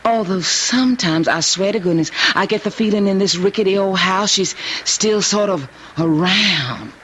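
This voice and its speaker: An actress playing a willful, coquettish young Southern US newlywed wife in old-time radio drama style, honeyed and cajoling, then imperious. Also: female voice, medium pitch, normal speed, fairly bright tone, close to an everyday voice. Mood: coy, honeyed. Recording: dull noisy old radio drama recording.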